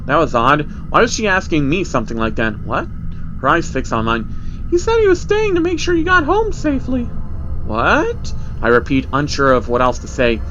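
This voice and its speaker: A man narrating a scripted first-person horror story with a dramatic storytelling delivery. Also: mid-range voice. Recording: music under the voice. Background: music.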